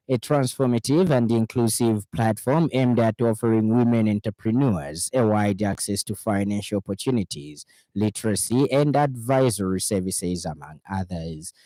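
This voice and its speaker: A man with a Zambian accent reading a scripted radio news bulletin in a formal, measured way. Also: mid-range voice, expressive, dark tone, no room sound, poor audio. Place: radio studio.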